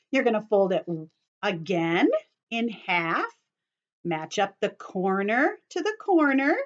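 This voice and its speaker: A woman's voice: medium pitch, highs cut off. A woman giving young children a step-by-step craft lesson, explaining patiently in simple words with a sing-song delivery and frequent check-in questions, recorded on a computer microphone. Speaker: children's teacher hosting an online story time. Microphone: computer's built-in camera and microphone.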